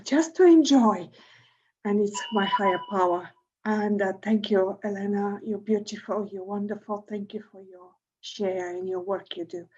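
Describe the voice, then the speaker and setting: A woman, who grew up in Bulgaria, giving a halting, earnest thank-you share in a meeting over a video call. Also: medium pitch, darkish tone. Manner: halting, repeats words, earnest. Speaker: meeting member sharing. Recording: dull lo-fi call audio.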